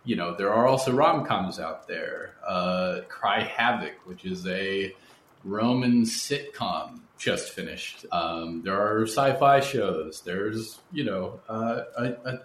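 A man hosting a conversational podcast interview, talking in a thoughtful, discursive way. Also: lowish voice. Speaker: interview host, horror writer. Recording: roomy echo with background noise.

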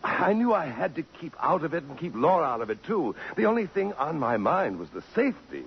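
A man's voice: a little high, big pitch swings, brisk. A man playing an anxious, defensive fiancé in an earnest, melodramatic 1950s radio drama style. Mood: anguished, defensive. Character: defensive, anxious fiancé under police questioning. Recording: muffled, noisy old radio recording.

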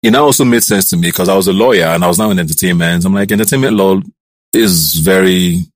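A man in his thirties with a Nigerian accent, a TV host telling his career story in a relaxed interview, lively when he acts out what others said. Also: fast talker, dry recording. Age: 30s. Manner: animated, jumps up in pitch to act out quoted voices.

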